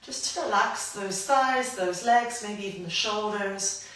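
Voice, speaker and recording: A woman guiding a grounding movement practice, gentle and invitational, her voice a little croaky from hay fever. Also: medium pitch, far from the mic. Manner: gentle, invitational, unhurried guidance. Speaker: somatic practice guide. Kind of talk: guided movement instruction. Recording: roomy echo, voice from afar.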